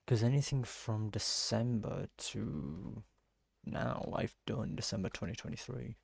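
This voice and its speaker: A man with a gravelly, husky voice doing casual gameplay commentary, musing aloud in halting bursts. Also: lowish voice, no echo. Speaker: game streamer.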